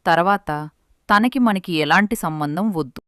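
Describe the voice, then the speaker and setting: A woman's voice: fairly low pitch, unhurried, fairly bright tone, clear, resonant tone, dry recording, clean studio sound. A woman voicing an older mother-in-law who is stern and reproachful in a family story. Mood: pleading.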